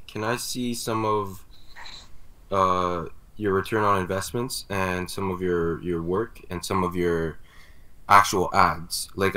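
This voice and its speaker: A man with a very low voice speaks on a video call, asking polite questions with small restarts. His tone is bright and forceful. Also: rough recording. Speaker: prospective client. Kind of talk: business call.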